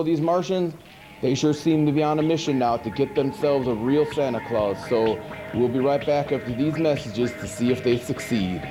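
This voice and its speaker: Man playing a goofy, animated monster horror host. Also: a little high, natural voice. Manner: slangy, folksy, exasperated rambling. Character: goofy, rambling monster hosting a horror movie show. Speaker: horror movie show host. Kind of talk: comic movie commentary. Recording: noisy 1980s VHS TV tape.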